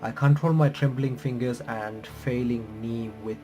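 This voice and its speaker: A man reading visual novel text aloud with bits of his own commentary, halting and correcting himself as he goes. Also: medium pitch, fairly even intonation, dark tone. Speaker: gaming reaction streamer. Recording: music playing behind the voice. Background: game music.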